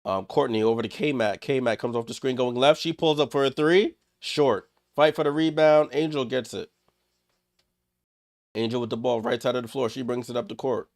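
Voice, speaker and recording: Male stream host, gravelly and a bit under the weather, clipped live play-by-play with chatty asides to chat, dry room. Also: mid-range voice, steady tone, moderate pace, no echo. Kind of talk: casual live play-by-play commentary.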